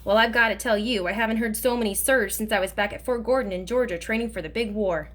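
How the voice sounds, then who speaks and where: A woman's voice: medium pitch, fast, fairly bright tone, close to an everyday voice. A woman reading aloud voices a kindly, teasing older male driver who talks in a folksy, joking way.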